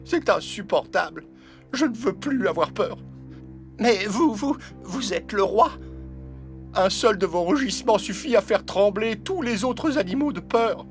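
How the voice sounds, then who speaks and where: Little cartoon rat, high voice, very animated intonation, fearful, meek, pleading. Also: male voice, unhurried, soft background music. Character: small timid rat, fearful, pleading, polite. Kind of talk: animated fable character acting.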